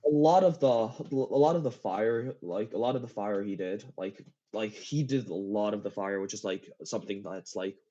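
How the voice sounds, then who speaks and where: Male gaming guest, mellow and halting, giggly, casual; muffled lo-fi voice-chat sound. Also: on the low side. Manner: halting, repeats phrases, giggly. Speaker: interview guest, game map builder. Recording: dull lo-fi voice chat.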